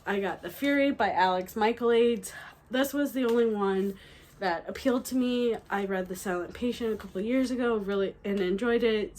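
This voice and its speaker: A young woman with a slightly nasal voice from a cold, chatting excitedly through a book haul with fillers and restarts. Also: medium pitch. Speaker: book vlogger. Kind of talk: casual book haul chat.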